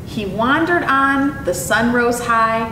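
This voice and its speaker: A young woman presenting as a zoo educator and reading to children in an expressive, animated way. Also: takes it slowly. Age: young adult. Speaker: zoo educator and storytime host. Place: indoor room with painted animal mural. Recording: echoey, distant mic with clear rumbling noise.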